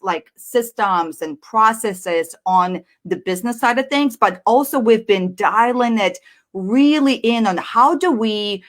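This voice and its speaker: A woman in her thirties from Russia, with a Russian accent, giving an energetic and chatty live online business presentation. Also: fairly high pitch. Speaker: business speaker.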